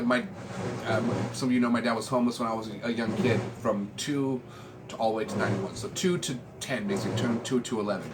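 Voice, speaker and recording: An American man in his forties chatting casually to viewers in a laid-back, slangy, meandering way. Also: medium pitch. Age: 40s. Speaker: vlogger showing a music collection. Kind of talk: casual rambling chat. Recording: clearly noisy room ambience.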